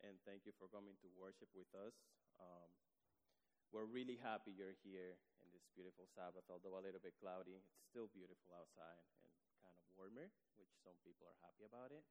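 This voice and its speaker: A man from the Dominican Republic preaching to his congregation with a fairly low voice. He talks hesitantly, with many ums and restarts, and his voice carries the long reverb of a church hall. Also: brisk. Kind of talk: sermon. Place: church sanctuary.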